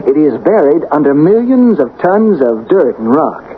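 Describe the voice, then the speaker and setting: Dramatic radio reporter, tinny old recording. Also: male voice, a little high, sing-song, moderate pace, slight background noise. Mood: earnest, probing. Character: earnest male radio reporter at a disaster scene.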